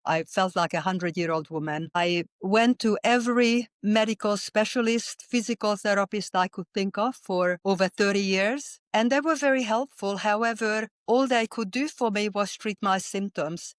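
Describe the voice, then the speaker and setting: A woman's voice: mid-range voice, clear, resonant tone, no room sound. A woman with a Hungarian accent, a dentist telling her story earnestly as an interview guest.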